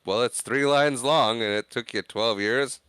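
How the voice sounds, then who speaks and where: A man's voice: medium pitch, measured pace, a bit bright, smooth, no echo. A man co-hosting a podcast, retelling film scenes in casual chat, acting out the characters' quoted lines. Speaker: podcast co-host.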